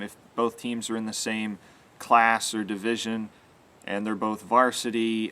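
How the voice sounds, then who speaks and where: A man's voice: on the low side, pushed and bright. A young man giving an earnest instructional explanation to camera, stressing his key words. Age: young adult. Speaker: sports announcing instructor. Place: outdoors in a yard with trees. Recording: clear outdoor background noise.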